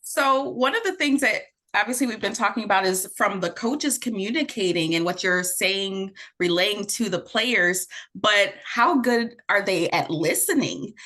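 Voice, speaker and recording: Bright-voiced woman host asking questions. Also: mid-range voice, lively intonation, unhurried. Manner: inquisitive, conversational. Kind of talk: interview questions in a video chat.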